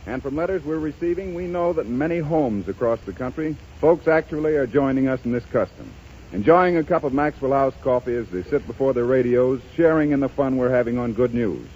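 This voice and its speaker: A man's voice: mid-range voice, fairly quick, darkish tone. A man announcing in a scripted 1930s radio sponsor style. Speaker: announcer and coffee pitchman. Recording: muffled, hissy 1930s radio broadcast.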